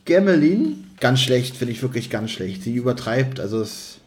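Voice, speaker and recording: A man's voice: mid-range voice, sing-song, normal speed. A man co-hosting a podcast, casually discussing an audio drama episode in an opinionated, critical way. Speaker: podcast co-host.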